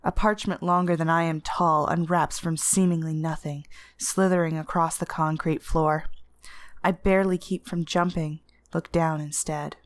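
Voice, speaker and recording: A woman reading literary first-person fiction as narrator, with an intense, deliberate and expressive dramatic delivery. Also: sits low, no room sound. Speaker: story narrator.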